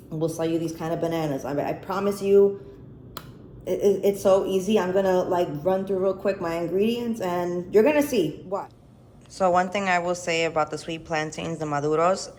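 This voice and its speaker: An American woman hosting a cooking vlog, chatting casually and informally to camera with plenty of swearing. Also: fairly low pitch. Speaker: cooking and chat vlog host. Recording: echoey room, faint background noise.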